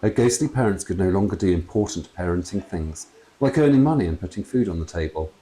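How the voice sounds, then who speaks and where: A man reads a children's story aloud in an expressive, deliberate storytelling manner. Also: low voice, poor audio. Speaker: children's author reading aloud. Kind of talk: dramatic storybook narration. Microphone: clip-on lapel mic.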